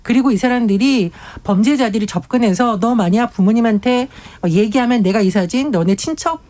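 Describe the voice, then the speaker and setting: Quick, mellow-voiced woman academic on radio. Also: a little high. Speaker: professor, panelist. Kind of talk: radio current-affairs discussion.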